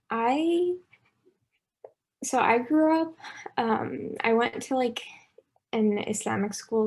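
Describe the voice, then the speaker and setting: A young woman speaks slowly in a fairly level tone on a student panel, stopping often with likes and ums. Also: medium pitch, darkish tone. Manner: halting, filler-heavy. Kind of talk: panel discussion.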